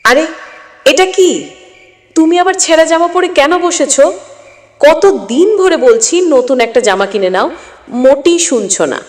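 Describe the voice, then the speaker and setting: A woman acting a caring, gently scolding village wife and mother in a dramatised moral story. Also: sits high, lively intonation, measured pace, pauses often. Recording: long echoing reverb.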